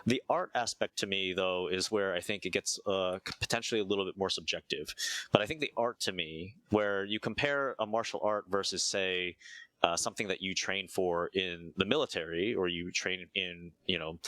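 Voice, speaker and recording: A man from the United States, a guest in an interview, explaining his views in a measured, reflective way and pausing with "uh" and "right?" as he thinks aloud. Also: low-pitched, fairly bright tone, dry recording. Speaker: interview guest, martial arts teacher.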